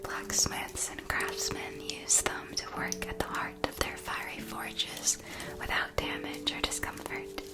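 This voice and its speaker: A woman playing a kindly potion-supply shopkeeper in a scripted fantasy ASMR roleplay, speaking in a soft, breathy whisper with deliberate, gently explanatory delivery. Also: lightly acted. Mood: soothing, helpful. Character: kindly female shopkeeper of magical witch-school potion supplies.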